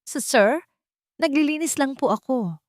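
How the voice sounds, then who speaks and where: A woman voicing a frightened, meek young female cleaner in a story, timid, halting and pleading. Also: sits high, animated, no room sound, clean studio sound, voice pushed up.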